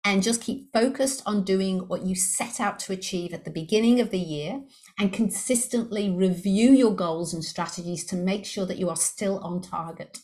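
A woman's voice: mid-range voice, moderate pace, darkish tone. A middle-aged woman from Liverpool, England, with an English accent blended with Australian, explaining sales techniques upbeat as a podcast guest. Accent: English mixed with Australian. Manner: upbeat, explanatory, acts out inner voices. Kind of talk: podcast interview.

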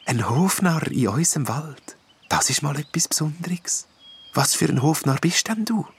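Man doing a gentle forest nymph lady, soft breathy half whisper, storybook. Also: a little high, animated, moderate pace, pitched above the performer's own voice, full character voice. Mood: curious. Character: gentle female forest nymph, kind and wise.

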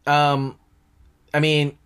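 A man's voice: on the high side, monotone, extremely slow, fairly bright tone, clear, resonant tone. Stuffy man casually recapping a show. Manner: rambling, recounts scenes in long run-on stretches. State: stuffy nose. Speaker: podcast co-host.

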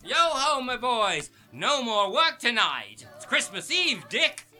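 A jovial, big-hearted old master in a theatrical radio play. He hollers hearty exclamations in a very high, lively voice that rings forcefully. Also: takes it slowly, soft background music, exaggerated voice. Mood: jolly, rallying.

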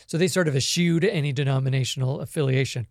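A Canadian man, a podcast guest, telling his life story in a relaxed, reflective way with many small pauses. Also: mid-range voice, no echo, clean studio sound. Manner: reflective storytelling with frequent ums. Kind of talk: conversational interview.

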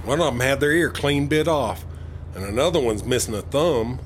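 A man with an American accent playing a suspicious, humorless border officer in a comedy audio drama, deadpan and official. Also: mid-range voice, normal speed, close to an everyday voice. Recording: faint vehicle ambience noise.

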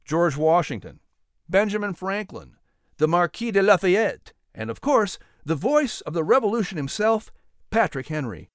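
A man hosting and narrating a story podcast in an expressive, theatrical style. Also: sits high, moderate pace, no echo, studio quality. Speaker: podcast host and audiobook narrator. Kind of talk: dramatic narration and lighthearted scripted hosting.

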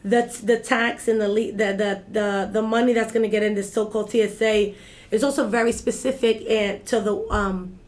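A woman's voice: mid-range voice, fairly even intonation, moderate pace, darkish tone. A woman, talking as a guest in a casual interview, emphatic and repeating herself, checking in with "right?". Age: 30s. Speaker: guest, executive director of an activist group.

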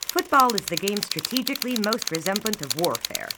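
A woman's voice: mid-range voice, big pitch swings, fairly quick, very noisy, close to an everyday voice. A woman playing a literate 1920s sports fanatic, narrating her memoirs in florid, theatrical, old-fashioned phrasing. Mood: wistful, earnest. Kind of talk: scripted first-person memoir narration. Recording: loud typewriter clatter behind the voice.

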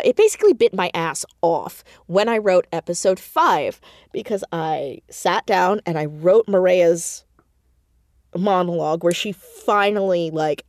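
A woman with a slightly low voice talks as a guest in a casual interview. She takes her time, yet tells things expressively and acts out her asides. The sound is dry and close. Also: no echo. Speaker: audio drama creator and voice actor, interview guest. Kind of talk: casual, animated interview chat.

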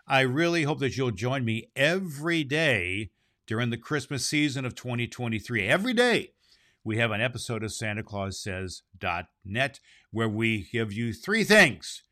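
Santa Claus, played by a man, children's storybook host. Jolly, theatrical, drawn-out delivery, medium male pitch. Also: very animated, pushed and bright, no echo, studio quality. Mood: kindly, jolly. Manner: theatrical, drawn-out phrasing, ho-ho-ho chuckles. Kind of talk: storybook reading and children's show hosting.

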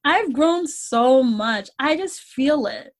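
A woman in her twenties co-hosting a podcast, relaxed and affirming in casual chat. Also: high voice, slow pace. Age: 20s. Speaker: podcast co-host.

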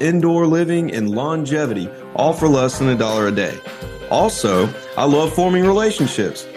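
Upbeat male podcast host, music underneath. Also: on the high side. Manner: upbeat, scripted intro then relaxed chat with fillers. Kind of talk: casual interview chat.

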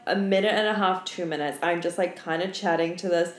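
A woman's voice: fairly low pitch, steady tone, brisk. A young woman co-hosting a podcast, telling stories in casual, sweary, candid chat with lots of filler "like". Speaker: podcast co-host. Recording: roomy echo, faint background noise.

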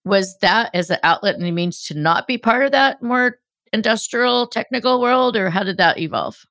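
A woman hosting a podcast, reading a scripted introduction in a measured, announcer-like way and interviewing a guest. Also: medium pitch, lively intonation, fairly bright tone, dry recording, clean studio sound. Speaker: podcast host.

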